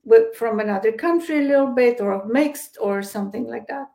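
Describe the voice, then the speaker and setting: A woman's voice: on the high side, moderate pace, creaky, mellow. A woman with a Polish accent, a guest psychic medium, speaking in a hesitant interview style full of fillers and restarts. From Poland. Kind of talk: casual interview chat.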